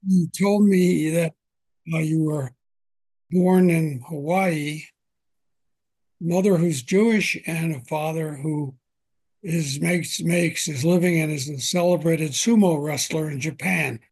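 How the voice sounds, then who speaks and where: An older man hosting an interview podcast from his home, asking questions in a gentle, unhurried way with frequent fillers. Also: on the high side, no echo, rough recording. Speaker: podcast host.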